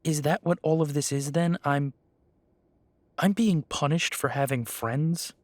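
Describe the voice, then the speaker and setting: A man's voice: on the high side, unhurried, dry recording, lightly acted. A man playing a defiant, bewildered young werewolf who is reluctant to lead, blunt and questioning, in an audio roleplay drama. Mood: confused, sceptical.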